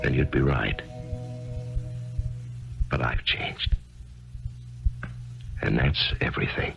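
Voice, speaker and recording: An actor playing a grieving failed author in a 1970s radio drama, speaking haltingly and narrating in the first person. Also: male voice, very deep voice, creaky, exaggerated voice. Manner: halting, hesitant speech with many pauses. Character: grieving failed male author, hesitant and searching. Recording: dull lo-fi 1970s radio recording with loud music.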